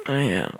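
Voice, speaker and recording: A man playing a tired, overworked young boyfriend in a soft, intimate ASMR roleplay, halting and breathy, often dropping into a whisper close to the mic. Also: on the high side, heavily acted. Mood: tender. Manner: halting, drowsy, sighing delivery. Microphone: close microphone, dry.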